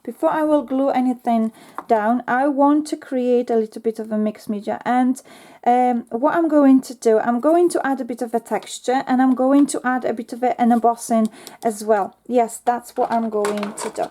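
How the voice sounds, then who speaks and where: Soft-spoken woman with a lilt. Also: on the high side, moderate pace, a little hiss or hum. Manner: chatty, talks to herself while working, frequent ums. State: slightly losing her voice. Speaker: crafting tutorial host. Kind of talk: casual step-by-step craft tutorial.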